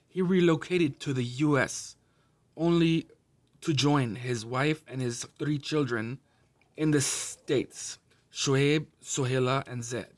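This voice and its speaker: A man's voice: medium pitch, slow pace. A man hosting a casual interview with his father, asking questions in a chatty, friendly way and sometimes switching into Urdu. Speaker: podcast host.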